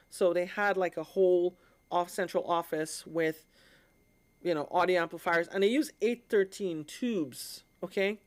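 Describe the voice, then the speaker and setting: A woman from the Caribbean hosting a live stream, explaining things in an informal, conversational way and often checking in with her listeners. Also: lowish voice.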